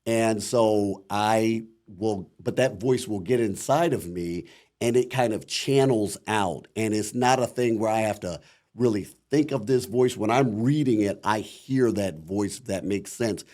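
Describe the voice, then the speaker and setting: Deep-voiced Midwestern man, mellow tone. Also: unhurried. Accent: Midwestern American. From Akron, Ohio, USA. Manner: rambling, many "you know" fillers, reflective. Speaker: voice actor guest. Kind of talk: casual interview talk.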